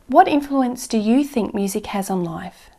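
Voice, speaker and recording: A woman's voice: on the high side, lively intonation, normal speed, dark tone. A woman playing an exam interviewer, asking questions in a polite, formal way. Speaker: examiner.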